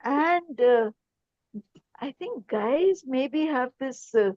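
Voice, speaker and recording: A woman's voice: sits high, expressive, very slow, darkish tone, no echo, dull audio. A woman with an Indian English accent, a panelist in a casual advice discussion, reflective, dropping in Hindi phrases.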